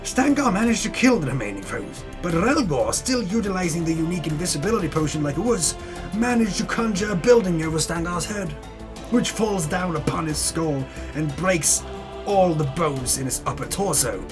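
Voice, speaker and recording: A man with a slightly high voice reads a fantasy tale as a grand dramatic narration. His delivery is theatrical and grandiose, broken by dry, tongue-in-cheek asides. Music plays softly behind him. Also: lively intonation, moderate pace. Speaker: narrator recapping a tabletop campaign. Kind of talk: dramatic reading, mock-epic scripted narration. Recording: music under the voice. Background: background music.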